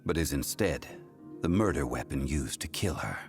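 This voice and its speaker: A man voicing a calm, formal, ancient gentleman who speaks in measured, deliberate sentences in a video game. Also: very deep voice, soft background music. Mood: stern. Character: ancient, composed male consultant of contracts.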